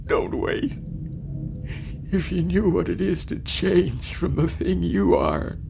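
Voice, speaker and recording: An actor playing a lonely, anguished man of eighty stranded on Mars, halting and haunted, in a radio drama. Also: high-pitched, wildly swooping pitch, creaky, heavily acted. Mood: anguished pleading. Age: 80s, as played. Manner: halting, haunted, swinging from murmurs to outbursts. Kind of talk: dramatic radio acting, reminiscing monologue. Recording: noisy radio drama recording.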